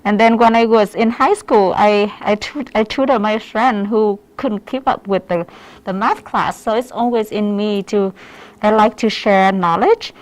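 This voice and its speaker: A woman with a Vietnamese accent explains her work as a lash artist in a casual way. Her voice sits at a medium pitch, and her phrasing is a little hesitant and non-native. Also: unhurried, rough recording. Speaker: lash artist giving a demonstration. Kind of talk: casual explanation on a live stream.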